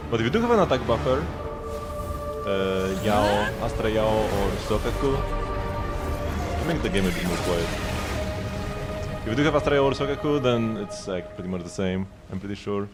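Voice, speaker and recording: A man's voice: fairly low pitch, animated, normal speed, long pauses. A man giving casual live gameplay commentary, rambling and thinking aloud as he goes. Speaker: game streamer. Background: game music.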